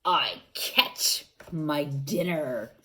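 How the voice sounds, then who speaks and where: A woman reading a picture book aloud to young children, gently asking them questions and adding playful sound effects. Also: sits low, lively intonation, extremely slow. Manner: gentle, coaxing, asks the young listeners questions.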